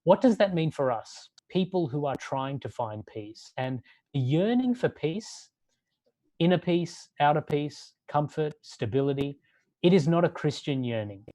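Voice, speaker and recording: A man who grew up in Australia, giving a sermon-like talk in a measured way and building long lists for emphasis. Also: a little high, expressive, mellow, dry recording.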